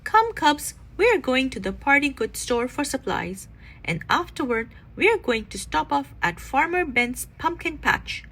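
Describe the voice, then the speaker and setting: A woman voicing a boisterous, excitable father bear in a storybook with an emphatic, hammy delivery. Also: sits high, a little slow, exaggerated voice. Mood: enthusiastic.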